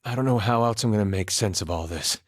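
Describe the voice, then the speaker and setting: Guy voicing a folksy farmer war vet for a video game, lowish voice, dry humor, easygoing but carrying some grief, really dry close recording. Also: quick pace, no echo, lightly acted. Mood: earnest, determined. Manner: folksy, understated, dryly humorous, drops words. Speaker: video game companion character. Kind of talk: video game voice acting, conversational dialogue.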